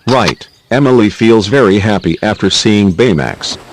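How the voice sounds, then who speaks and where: A man's voice: fairly low pitch, animated, normal speed, a little hiss or hum. A man reading scripted narration for a children's quiz video, with simple prompts in non-native English phrasing.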